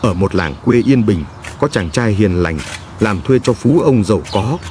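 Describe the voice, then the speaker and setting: A man's voice: on the low side, expressive, normal speed, background noise. A man narrating a scripted fairy tale as a storyteller for a cartoon. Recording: music behind the voice. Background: background music.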